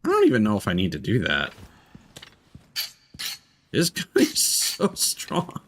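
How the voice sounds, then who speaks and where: A man streaming a video game, talking through it in an expressive, playful way with casual swearing. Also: lowish voice, a little slow. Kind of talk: gameplay stream commentary with dramatic reading aloud of in-game documents.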